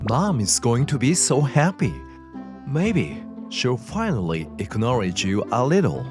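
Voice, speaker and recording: An actor playing a selfish, demanding middle-aged husband in a dubbed comic story, dismissive and entitled, with music behind him. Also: male voice, mid-range voice, big pitch swings, measured pace. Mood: excited.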